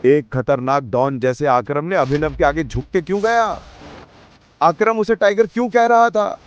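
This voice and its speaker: A man's voice: on the high side, normal speed, clear, resonant tone, dull audio. A man narrating a scripted audio drama in a dramatic storytelling style.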